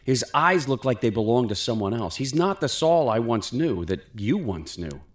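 A man voicing a loyal young prince in a storybook reading, speaking with a gentle, earnest and reassuring manner. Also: medium pitch, very animated, moderate pace, voice pushed up, close to an everyday voice. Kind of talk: storybook character voice.

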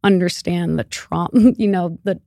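A woman's voice: lowish voice, fairly even intonation, a little slow, creaky, dark tone, no echo, clean studio sound. A woman from Iran, Persian her first language, chatting candidly as a podcast guest, often laughing and using fillers like "you know" and "like". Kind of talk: casual interview chat.

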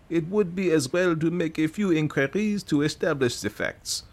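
A man's voice: on the high side, pitched above the performer's own voice, heavily acted. A man voicing a vain, fussy middle-aged male detective who talks in a pompous, precise and self-satisfied way.

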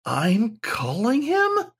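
A man's voice: high voice, huge pitch swings, extremely slow, creaky, fairly bright tone, breathy edge, pitched above the performer's own voice, heavily acted. A man voicing an anxious young male dog student in a hesitant, plaintive manner. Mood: whimpering dismay.

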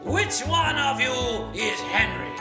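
An actor playing a boastful male painter who narrates a children's musical, with a gravelly, theatrical voice that teases the listeners. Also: high voice, animated, slow pace, echoey room, loud music behind the voice. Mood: storytelling. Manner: grandiose, teasing, addresses listeners.

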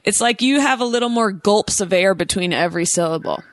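Female host, American, playful and bubbly, mid pitch, dry sound. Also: lively intonation, brisk, no echo. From United States. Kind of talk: casual chat.

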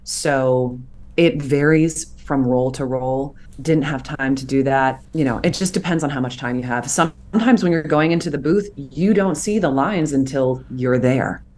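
Woman, thirties, voice actor guest, earnest, reflective, animated at times. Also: rumbling low, normal speed. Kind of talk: interview conversation.

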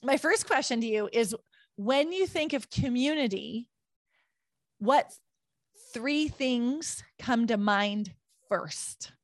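A woman hosting a podcast interview, upbeat and quick to laugh, speaking in fast bursts. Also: on the high side, lively intonation, no echo. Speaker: podcast host.

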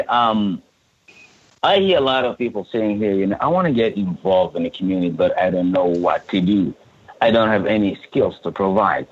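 A man's voice: medium pitch, creaky, darkish tone, breathy edge, dull audio. A man from Sudan who coordinates refugee support services speaks earnestly in a podcast interview, often restarting and repeating words.

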